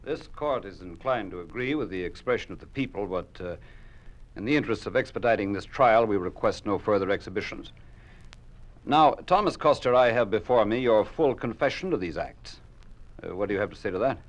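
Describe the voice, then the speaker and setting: An actor playing a stern, coercive judge at a show trial in an old radio drama, with a formal, commanding delivery. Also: male voice, lowish voice, lively intonation, a little fast, close to an everyday voice. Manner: formal, commanding, declamatory in court. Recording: noisy old radio recording.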